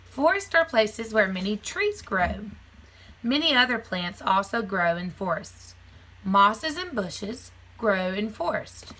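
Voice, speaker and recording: A woman with a bright, crisp-edged voice at a medium pitch reads aloud like a primary school teacher. She phrases things simply for young children and slips between book sentences and casual explanation. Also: low-quality recording. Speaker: teacher reading aloud to a class. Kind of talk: children's nonfiction read-aloud with casual asides.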